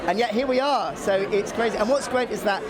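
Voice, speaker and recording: A man, a pantomime comic, giving a bubbly, excited and casual interview in a busy venue. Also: sits high, brisk. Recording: very loud crowd at busy venue. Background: loud crowd chatter.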